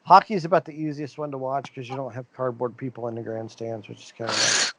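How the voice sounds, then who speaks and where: A man's voice: mid-range voice, fairly even intonation, quick pace, a bit bright, no echo, poor audio. A man around fifty co-hosting a podcast, telling rambling stories in casual chat and laughing often. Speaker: podcast co-host.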